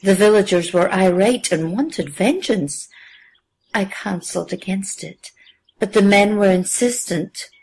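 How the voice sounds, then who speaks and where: A woman playing a gracious, solemn priestess who leads a village, speaking formally and with measured care in an audio drama. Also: medium pitch, a little mellow, husky, low-quality recording. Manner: formal, measured, stately speech.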